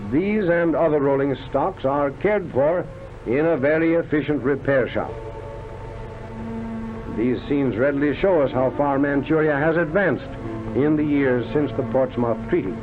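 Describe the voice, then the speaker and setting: A man narrates an old travel documentary through a thin, phone-like vintage soundtrack, with loud music playing behind him. His voice sits a little high, and he delivers the script in a declamatory, florid 1930s newsreel manner. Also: unhurried. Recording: tinny band-limited vintage film soundtrack, loud music. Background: loud background music.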